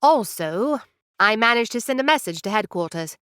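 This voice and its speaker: A woman's voice: fairly high pitch, expressive, normal speed, a bit bright, smooth, no room sound, studio quality. A woman playing a curt tiny ship captain. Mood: brusque. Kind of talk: audio drama acting.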